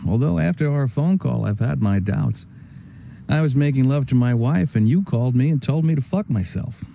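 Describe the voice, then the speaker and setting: An actor playing an aggrieved, pompous gangster in a video game, gravelly and stiffly formal in his indignation. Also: mid-range voice, lively intonation, normal speed, mellow. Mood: indignant. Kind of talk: naturalistic video game voice acting.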